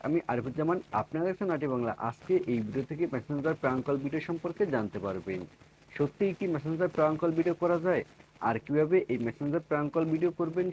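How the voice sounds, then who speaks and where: A man's voice: medium pitch, a little mellow, no echo. Man narrating a phone tutorial. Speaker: tech tutorial video host. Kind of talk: scripted tutorial narration, addressing viewers.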